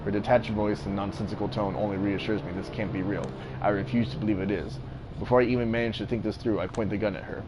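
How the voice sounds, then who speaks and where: A man with a fairly deep voice reading a text game aloud, casual, swearing now and then and commenting on the writing. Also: steady tone, fast talker. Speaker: streamer playing a text adventure game. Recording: noisy background hiss.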